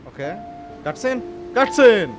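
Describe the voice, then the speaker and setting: Higher-pitched man casually reacting to a game. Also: very animated, slow pace. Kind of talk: casual off-the-cuff gameplay reactions. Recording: game music loud behind the voice.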